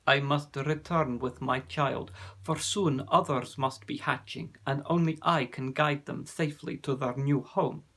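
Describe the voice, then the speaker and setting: A man voicing a huge, humble creature parent who speaks gently and with dignity. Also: mid-range voice, fairly even intonation, a little slow. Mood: gentle.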